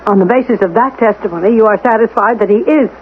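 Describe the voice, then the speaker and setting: A woman's voice: medium pitch, fast, natural voice. A persistent, composed female amateur sleuth played by a woman in a 1970s radio mystery. She is precise, insistent and challenging in her questioning. The sound is thin and tinny, with faint noise. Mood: probing. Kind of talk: 1970s radio drama acting. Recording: tinny, noisy old radio broadcast recording.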